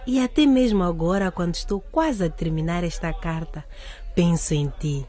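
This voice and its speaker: An actress playing an excitable, romantic teenage schoolgirl with a husky voice, in a radio drama. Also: lowish voice, normal speed, lightly acted. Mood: tender reading aloud.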